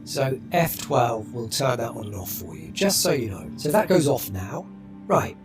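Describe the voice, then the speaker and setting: Brief: male tutorial narrator, lively pitch, relaxed, faint music under. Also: moderate pace.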